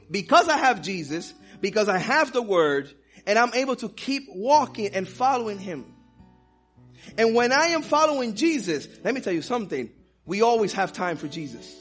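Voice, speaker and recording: High-voiced man preaching with passion. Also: a bit bright. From Dominican Republic. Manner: impassioned preaching with personal stories. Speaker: pastor. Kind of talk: sermon.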